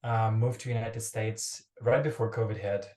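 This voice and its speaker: A man's voice: on the low side, level tone, normal speed, a little mellow. A young man from Ukraine, a startup founder talking casually as a podcast guest, rambling and restarting his phrases. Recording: roomy echoey remote recording.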